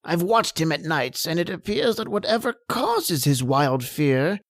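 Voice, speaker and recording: An actor playing a grave, kindly family doctor in an old-time radio drama, speaking in a measured, concerned way. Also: mid-range voice, very animated, no echo, clean studio sound. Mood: troubled, concerned.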